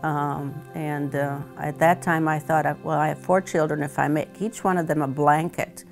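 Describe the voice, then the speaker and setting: A woman, a retired teacher and weaver, speaking thoughtfully with many pauses and fillers in a seated interview at home. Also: sits low, steady tone, vocal fry, darkish tone, faint music behind. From Croatia. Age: 70s. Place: home living room, indoors.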